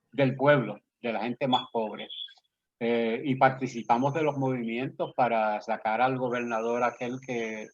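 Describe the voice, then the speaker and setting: A man with a Puerto Rican accent, a poet and guest, speaking earnestly and persuasively in a conversational interview. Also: mid-range voice. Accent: Puerto Rican Spanish. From Puerto Rico. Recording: slightly dull lo-fi audio.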